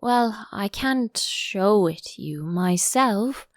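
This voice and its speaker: A woman voicing a melancholy, touchy old male turtle creature in a storybook, with a drawn-out, sighing delivery. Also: mid-range voice, expressive, no echo, clean studio sound. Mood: weary, wistful. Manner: slow, drawn-out, mournful delivery. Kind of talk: audiobook character voice.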